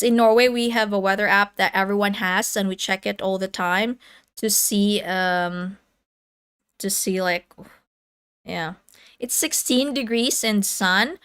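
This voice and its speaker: A woman's voice: medium pitch, fairly bright tone, clear, resonant tone. A woman in her thirties hosting a casual livestream, chatting with viewers, laughing often and reading the chat aloud. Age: 30s. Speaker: livestream host.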